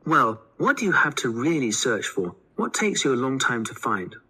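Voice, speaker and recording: Animated, slightly husky student played by a man. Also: medium pitch, normal speed, heavily acted, rough recording. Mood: polite, inquiring. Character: studious male college student presenting survey findings. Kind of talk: scripted listening-test dialogue.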